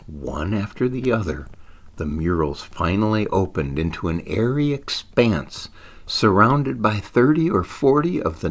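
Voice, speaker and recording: Deep-voiced man telling a story, expressively. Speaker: author reading own story.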